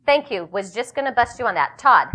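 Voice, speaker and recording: A woman runs a live training session, upbeat and encouraging as she calls on participants. Her voice sits a little high. She talks straight to a room full of loan officers. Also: animated, fairly quick, bright, forceful tone. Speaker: workshop trainer. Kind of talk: live workshop talk to a room.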